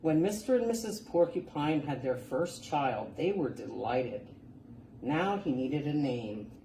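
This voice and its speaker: A woman reading a children's picture book aloud as a storytime reader, expressive and deliberate. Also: low voice. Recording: roomy, distant mic, faint background noise.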